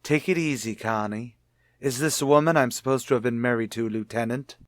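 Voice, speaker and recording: A man's voice: mid-range voice, fairly quick, no room sound, studio quality, close to an everyday voice. Man acting an earnest, self-justifying husband with secrets. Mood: guarded. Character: cornered, pleading husband hiding a false identity. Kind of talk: old-time radio drama acting.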